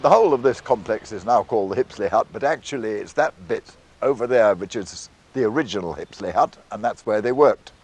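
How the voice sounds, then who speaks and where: Husky-edged British man presenting a documentary. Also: lowish voice, expressive, brisk, hardly any pauses, darkish tone. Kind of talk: scripted documentary narration with conversational asides.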